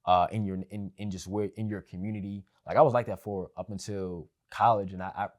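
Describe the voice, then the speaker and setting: Laid-back young man, very low voice. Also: a little fast. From family of West Indian background. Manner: relaxed, rambling, many fillers and restarts. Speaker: podcast guest. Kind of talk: casual podcast conversation.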